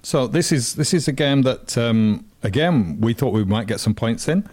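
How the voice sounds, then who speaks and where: A middle-aged man chats about football on the radio, wry and teasing. His voice sits at an ordinary pitch and is recorded dry and close. He talks loosely, with friendly banter. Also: animated, normal speed, a little mellow, breathy edge, no echo. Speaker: radio co-host. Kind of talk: casual sports chat.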